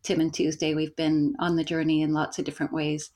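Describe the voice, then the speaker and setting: Low-voiced thoughtful woman guest. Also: level tone, darkish tone. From Canada. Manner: unhurried, thoughtful, wry humor. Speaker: guest, facilitator and coach. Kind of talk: casual podcast conversation.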